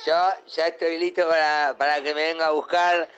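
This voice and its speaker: A man's voice: a little high, level tone, normal speed, dull audio, background noise, lightly acted. A man playing an eager, nervous Argentine father who repeats himself in a comic radio play voice message. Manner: repetitive. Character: eager, nervously repetitive father waiting with kids.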